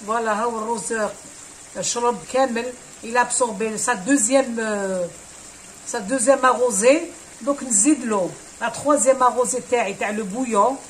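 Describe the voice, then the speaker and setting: A woman's voice: on the high side, fairly quick, slight background noise, poor audio. A Kabyle-speaking woman hosts a home cooking tutorial, switching between French and Arabic with many hesitant fillers. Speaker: cooking channel host.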